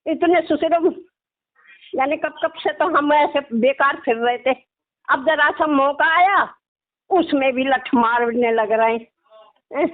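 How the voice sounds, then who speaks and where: A woman's voice: high voice, takes it slowly, long pauses. A middle-aged woman, chatting casually in a complaining way and tagging phrases with little questions. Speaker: caller, religious devotee. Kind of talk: casual phone conversation. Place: phone call. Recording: tinny, narrow phone line.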